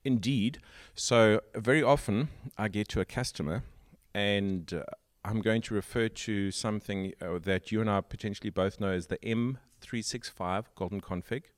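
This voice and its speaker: A man with a South African accent hosting a tech podcast, explaining at length in a casual way with pauses and fillers. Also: fairly low pitch, dry recording, clean studio sound. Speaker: podcast host.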